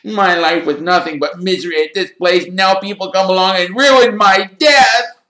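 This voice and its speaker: A melodramatic ghost girl from a storybook, pitched very high. The words drag out in tearful, self-pitying wails, with a roomy echo around them. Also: expressive, fairly bright tone. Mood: wailing, self-pitying. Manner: tearful, drawn-out wailing.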